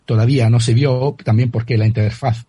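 Hesitant Italian man, husky dark voice. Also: mid-range voice, steady tone, a little fast, no echo. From Italy. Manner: hesitant, repeats words while searching for terms. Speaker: guest. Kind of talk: radio interview answer.

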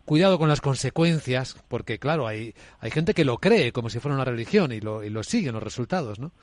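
A man hosting a radio interview, who introduces his guest and asks questions in a measured, clear way. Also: medium pitch, expressive, no room sound. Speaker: radio host.

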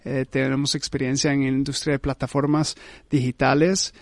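A man's voice: medium pitch, level tone, normal speed, dry recording. A man from Costa Rica, a startup founder talking as a guest in a radio interview, agreeable and often saying "correcto", with many filler "eh"s.

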